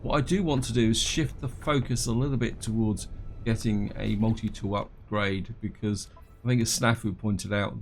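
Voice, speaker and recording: A man with a croaky, congested voice from a cold, casually commenting on his gameplay and thinking aloud as he goes. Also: on the low side, a little hiss or hum. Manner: rambling, thinks aloud with fillers and restarts; reads on-screen game text aloud.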